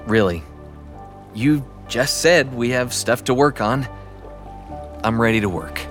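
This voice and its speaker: A man's voice: mid-range voice, animated, slow pace, fairly bright tone, faint music behind. An actor playing an apologetic, earnest father and brother in a family audio drama. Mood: reassuring.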